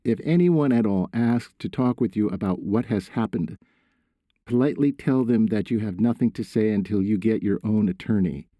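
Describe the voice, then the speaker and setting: A man's voice: lowish voice, normal speed, no room sound, lo-fi sound, close to an everyday voice. A man voicing a worried father in an audiobook, stern but caring, earnest and urgent. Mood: firm.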